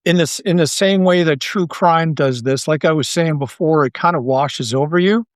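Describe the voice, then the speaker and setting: Halting, higher-pitched Canadian man, close and dry. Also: dry recording. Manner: halting, thinking aloud, earnest. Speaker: interview guest, audio drama writer and creator. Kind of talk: casual interview conversation.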